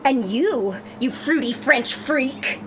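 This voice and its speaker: Woman doing a sassy loud-mouthed proud customer, high voice goes all over the place, very dramatic table read. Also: expressive, takes it slowly, noisy background. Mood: scornful. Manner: emphatic, theatrical, sharp-tongued. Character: brash, sassy, plus-sized female bridal customer, indignant and proud.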